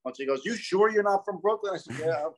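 A man in his twenties with a South Philadelphia accent, relaxed and easygoing, chatting as a guest over a video call from a small room. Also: high voice, fast. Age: 20s. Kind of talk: casual chat.

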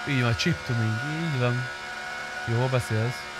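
Young man streaming over loud engine noise. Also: medium pitch. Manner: swears freely. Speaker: game streamer. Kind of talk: casual roleplay gaming chat. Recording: clear car engine noise behind.

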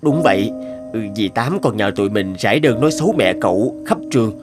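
A man's voice: on the low side, animated, brisk, over music, lightly acted. An actor playing an earnest, hesitant grown man in a cartoon dub. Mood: remorseful. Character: earnest, hesitant adult man, former unruly schoolboy. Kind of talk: cartoon dubbing.

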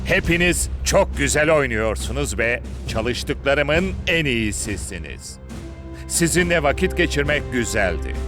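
A man's voice: mid-range voice, fairly bright tone. A man voicing an earnest, sentimental character in a children's cartoon dub. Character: sentimental, earnest adult man bidding farewell to team. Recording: music behind the voice.

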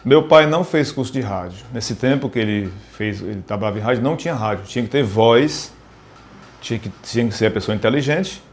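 Middle-aged Northeastern Brazilian man, medium pitch. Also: expressive, a little fast. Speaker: interviewee. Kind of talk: informal interview recollection.

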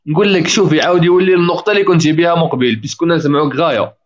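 A man, hosting a casual live call-in stream and guiding callers on their mics. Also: a little high. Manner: fast, coaching callers on their mic. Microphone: video call. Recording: dull lo-fi online call audio.

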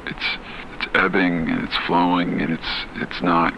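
Man acting a very deep, gravelly haunted man. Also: little pitch movement, dull audio, a little hiss or hum. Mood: confused, uneasy. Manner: halting, hesitant, brooding delivery with trailing repetitions. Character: disoriented, haunted man slipping into dreamy, fatalistic surrender. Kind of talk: horror game taped monologue.

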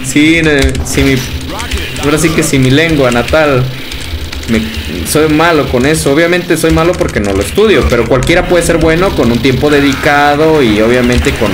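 A young Mexican man with a Mexican Spanish accent, chatting casually with viewers during a livestream, laughing often and calling them brother. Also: fairly high pitch. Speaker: game streamer. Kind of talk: casual livestream chat reading viewer comments. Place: indoor room at home. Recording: game music loud behind voice.

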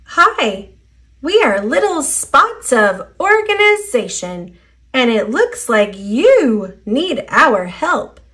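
Woman voicing a high, lilting cheerful little storybook helper. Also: big pitch swings, slow pace, a bit bright, heavily acted. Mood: cheerful, instructive. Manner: upbeat, instructive. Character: cheerful, encouraging little spot character teaching children. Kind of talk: children's storybook read-aloud.

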